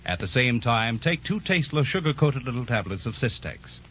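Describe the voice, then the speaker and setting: A man's voice: mid-range voice, sing-song, a little fast, a bit bright, slight background noise. A man reading a 1940s radio commercial as announcer, earnest, persuasive and carefully enunciated. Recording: muffled, humming 1940s radio recording.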